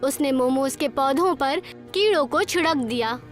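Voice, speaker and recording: A woman's voice: sits high, moderate pace, faint music behind. A woman narrator reading a scripted children's moral story.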